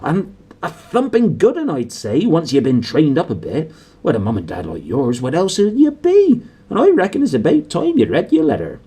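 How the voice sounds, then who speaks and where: Guy doing a big gruff giant groundskeeper from a storybook, folksy and blunt, loud, husky, jumps up high. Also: wildly swooping pitch, normal speed, heavily acted. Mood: proud. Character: huge, gruff, warm-hearted, hot-tempered male half-giant groundskeeper.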